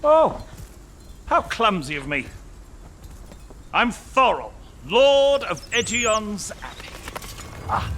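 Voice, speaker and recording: An actor playing a theatrical, smug villainous lord in a video game, mock-polite and pompous in a drawling delivery. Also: male voice, high voice, sing-song, slow, pauses often, fairly bright tone, a little hiss or hum, heavily acted. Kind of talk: video game cutscene acting.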